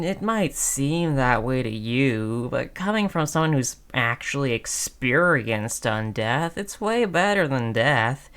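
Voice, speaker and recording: Audio roleplay zombie, played by a voice performer: affable, wheedling undead pal, slangy sales patter, mid-pitched, slow delivery. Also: fairly bright tone. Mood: coaxing, persuasive. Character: friendly, wheedling, chatty undead zombie coaxing a survivor. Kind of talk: scripted audio roleplay monologue.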